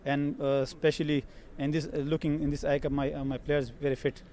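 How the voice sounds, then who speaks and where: Halting Afghan man, flat voice. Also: fairly high pitch. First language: Pashto. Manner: halting, many fillers and restarts. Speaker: team captain. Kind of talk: post-match interview. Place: outdoor cricket ground.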